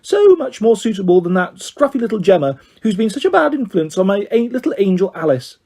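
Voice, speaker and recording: A man's voice: high-pitched, sing-song, a little fast, dark tone, pitched above the performer's own voice, full character voice. A man voicing a fawning, snobbish auntie in a syrupy, exaggerated way, as mockery in a children's story. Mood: mocking, syrupy. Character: gushing, snobbish, fawning auntie mimicked mockingly by a girl.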